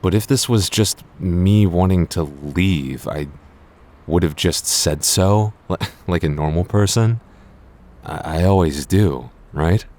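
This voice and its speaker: Male actor as a jealous boyfriend, low-pitched, earnest, possessive yet tender, hesitant stammering, intimate audio roleplay. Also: takes it slowly, a little mellow. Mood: incredulous, frank.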